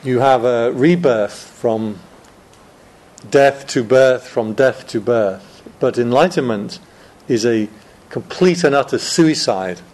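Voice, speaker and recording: A man's voice: medium pitch, takes it slowly, darkish tone. A man, a Buddhist monk, giving a chatty Dharma talk to a room of students, joking and laughing often.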